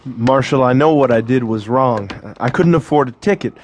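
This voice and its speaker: An actor playing a polite young drifter in an audio western, with an American accent and a deferential, slightly hesitant, plain-spoken manner. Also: male voice, mid-range voice, moderate pace, a little mellow, a little hiss or hum, lightly acted. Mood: pleading, earnest. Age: young adult. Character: polite, earnest young drifter seeking work. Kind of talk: audio western drama acting.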